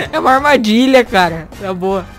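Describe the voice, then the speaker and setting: A young man with a Brazilian accent, casually commentating a video game, joking, excitable and swearing a lot. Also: sits high, normal speed. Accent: Brazilian Portuguese. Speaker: host. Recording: game music behind.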